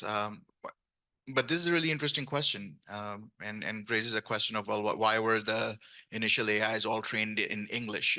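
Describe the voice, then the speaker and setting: A man's voice: on the low side, pushed and bright. A man speaking on a panel, thinking aloud with many restarts and fillers. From grew up speaking Urdu. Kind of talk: panel discussion.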